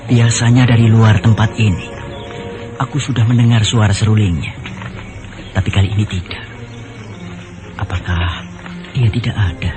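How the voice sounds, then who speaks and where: A man's voice: fairly low pitch, lightly acted. An actor playing an earnest young man in a radio drama, musing aloud to himself as he searches. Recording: loud music behind.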